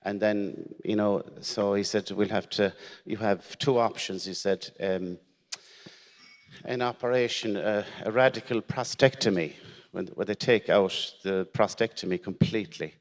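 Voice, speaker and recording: Man, irish accent, irish as first language, middle-aged, lowish voice, halting speech, repeats words, long hall reverb. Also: fairly even intonation. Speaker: shed member telling his story. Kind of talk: personal story at a conference. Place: conference hall.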